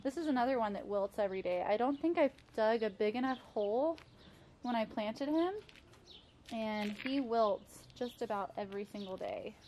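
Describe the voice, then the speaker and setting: A woman's voice: fairly high pitch, lively intonation, moderate pace, dark tone. A woman in her thirties giving a casual, chatty garden tour, talking affectionately as she goes. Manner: chatty, affectionate talk about plants. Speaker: home gardening vlogger. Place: outdoors in a home backyard garden. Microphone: handheld camera. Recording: clear background noise outdoors.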